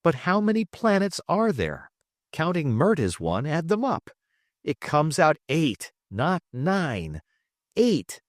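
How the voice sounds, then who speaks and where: A man's voice: fairly high pitch, wildly swooping pitch, slow pace, no echo, studio quality, voice pushed up, heavily acted. A man voicing a triumphant, boastful male space trader in an audiobook, grandiose and teasing as he lectures. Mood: gleeful lecturing. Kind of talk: audiobook character dialogue.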